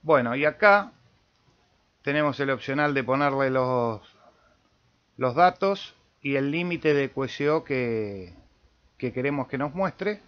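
A man with an Argentine Spanish accent giving an informal software tutorial, thinking aloud as he demonstrates. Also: medium pitch, animated, slow pace, long pauses, dull audio. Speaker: amateur radio video tutorial host.

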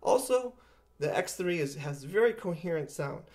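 A man's voice: high-pitched, lively intonation, moderate pace, a little mellow. A man in his fifties giving an explanatory product review with plain everyday comparisons. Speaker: audio equipment reviewer. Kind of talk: product review talk to camera. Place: living room with acoustic panels, indoors.

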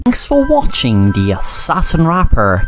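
A man's voice: fairly high pitch, huge pitch swings, measured pace. A man reading a scripted horror story as a storyteller. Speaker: storyteller, channel narrator. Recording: music behind the voice. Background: loud background music.

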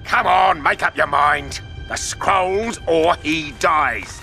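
An actor playing a menacing, sneering villainous seaman in a video game, taunting and deliberate. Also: on the high side, vocal fry, full character voice. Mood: gloating threat. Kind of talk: animated video game voice acting. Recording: loud music behind the voice.

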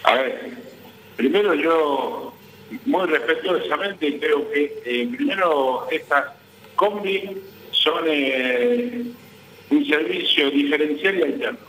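Argentine male interviewee, high and sharp-edged, insistent spokesperson delivery, roomy call sound. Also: lively intonation, slow. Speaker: guest, transport business association vice president. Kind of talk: live news interview by phone.

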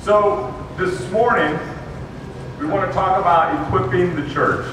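A man preaching in short, measured phrases that pause for an interpreter. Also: high-pitched. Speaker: guest preacher. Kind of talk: sermon with live interpretation. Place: large dim auditorium. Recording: echoey hall from far off, noisy.

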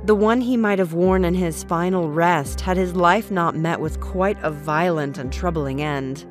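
A woman voicing an ancient former handmaid to a pharaoh, telling her past calmly in an audio comic. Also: lowish voice, a little slow, faint music behind, lightly acted. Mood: solemn.

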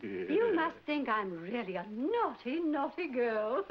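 A woman's voice: high-pitched, big pitch swings, takes it slowly, full character voice. An actress playing an affected, flirtatious society lady in 1940s film comedy, fluttery, cooing and theatrical. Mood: coy, gushing. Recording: noisy, muffled 1940s film soundtrack.

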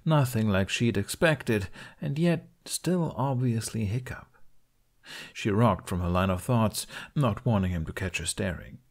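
A man's voice: lowish voice, expressive, measured pace. A man reading scripted fiction as an audiobook narrator.

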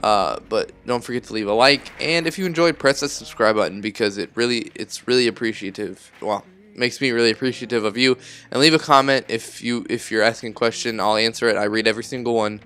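A man's voice: mid-range voice, fairly quick, pushed and bright, clear, resonant tone. Groggy young man chatting about games. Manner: rambling, chatty, self-correcting. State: sick and groggy. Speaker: gaming YouTuber. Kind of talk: casual commentary over gameplay.

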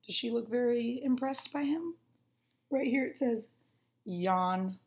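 A woman's voice: high voice, steady tone, moderate pace, darkish tone. A woman in her twenties, a teacher reading aloud to children, explaining and prompting them with simple questions. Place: small room at home, indoors.

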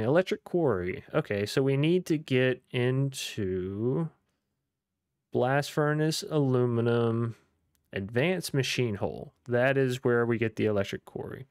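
Upbeat young guy, rambling gameplay chat, mid-pitched, voice a little worn out, dead dry recording. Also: darkish tone, dry recording. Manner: chatty, upbeat, rambling with fillers. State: losing his voice. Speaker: gaming YouTuber. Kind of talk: casual gameplay commentary.

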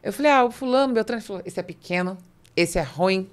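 A woman's voice: medium pitch, lively intonation, fast. A middle-aged woman with a Brazilian Portuguese accent, hosting a business podcast in an emphatic, colloquial storytelling way. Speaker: podcast host. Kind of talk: conversational business podcast interview. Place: small podcast studio with curtains, indoors. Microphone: close studio mic on boom arm.

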